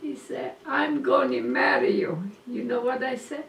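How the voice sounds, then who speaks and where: A woman's voice: high-pitched, a little slow, gravelly, slight background noise. An older woman from Croatia with a Croatian accent, teasing in broken English during a casual chat at home. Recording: roomy room from a distance with loud clatter.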